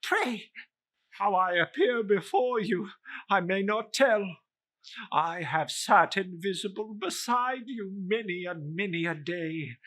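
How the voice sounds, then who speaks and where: A tormented ghost from a classic storybook. The voice is slow and bright, forceful in its anguish. It declaims ominous warnings with long, wailing, drawn-out words. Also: male voice, high-pitched, lively intonation, exaggerated voice. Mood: mournful warning. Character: tormented ghost of a dead businessman, anguished, solemn warner. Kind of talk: dramatic storybook reading.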